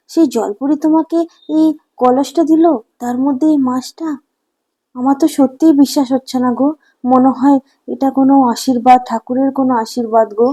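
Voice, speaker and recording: A homely cartoon village mother, played by a woman, speaks high and emphatic. She is devout, full of wonder and easily astonished by things. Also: warm tone, lightly acted. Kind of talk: cartoon voice acting.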